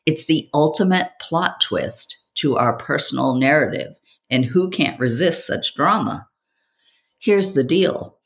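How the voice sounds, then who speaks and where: A woman hosts a self-development podcast at a slow, deliberate pace. She delivers a scripted monologue like a storyteller, leaning on dramatic pauses and rhetorical questions. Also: low voice. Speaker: podcast host.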